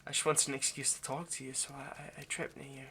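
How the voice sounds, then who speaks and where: A lovesick vampire man, centuries old acted by a man, speaks in a bright, forward voice of middling pitch. He stammers and rambles apologetically, close and intimate in ASMR roleplay style. Faint background noise sits behind him. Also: flat delivery, fast talker, lightly acted. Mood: tender confessional.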